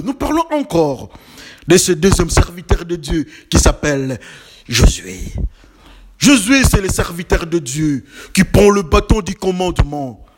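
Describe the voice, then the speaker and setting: A man's voice: sits high, background noise. A man preaching a sermon with emphatic, building repetitions and rhetorical questions, slightly congested from a cold.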